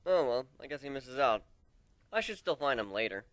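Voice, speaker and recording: A brooding griffin captain, as a male reader puts him on, wary and sardonic in a fantasy audio story. The voice is clear and smooth at a middle pitch, recorded close and dry, with earnest, theatrical delivery. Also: big pitch swings, moderate pace, a bit bright, no room sound. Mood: puzzled. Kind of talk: dramatic reading of fan fiction.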